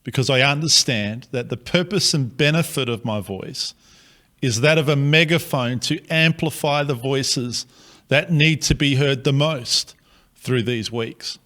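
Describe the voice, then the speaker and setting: Aussie pastor guy, slow and earnest, bright forceful voice. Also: medium pitch. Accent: Australian. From Australia. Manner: slow, earnest, measured delivery. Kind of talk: prepared pastoral address.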